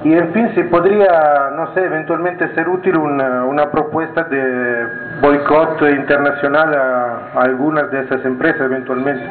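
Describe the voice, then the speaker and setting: A man's voice: on the high side, fairly even intonation, normal speed, noisy background. Man from Italy, hesitant, audience question, roomy lo-fi sound. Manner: hesitant, searching for words. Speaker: audience member asking questions. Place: meeting hall with an audience. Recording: echoey room over a tinny lo-fi line.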